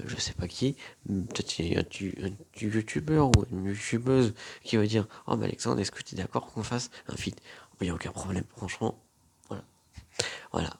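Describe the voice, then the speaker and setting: A man's voice: on the low side, creaky, darkish tone, breathy edge. A young man with a cold, rambling casually to camera as a YouTuber, with lots of fillers and repetitions. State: has a cold.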